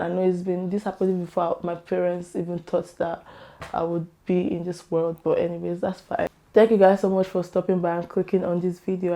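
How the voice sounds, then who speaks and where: Woman vlogger, voice gone hoarse, low and mellow, chatty and opinionated. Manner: chatty, opinionated, laughs often. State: hoarse, losing her voice. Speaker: YouTube vlogger. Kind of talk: casual chat to camera.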